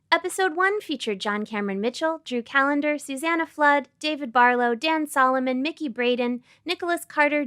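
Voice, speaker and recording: A woman's voice: fairly high pitch, a bit bright, no room sound, studio quality. A woman reading scripted show credits as an announcer.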